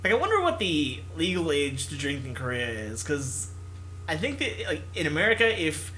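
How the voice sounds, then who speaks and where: Man, bright forceful tone, high pitch, theatrical game narration, casual asides, jokey delivery. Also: very animated, moderate pace, a little hiss or hum, rough recording. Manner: theatrical, jokey, puts on character voices. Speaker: host of a visual novel playthrough. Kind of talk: reading game text aloud with casual commentary.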